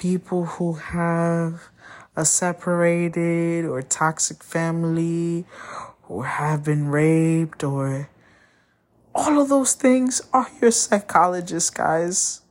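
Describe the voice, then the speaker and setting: A woman whose first language is Spanish, hosting a podcast and talking candidly about a breakup, often pausing to find her words. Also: lowish voice, darkish tone. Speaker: podcast host. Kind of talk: personal monologue.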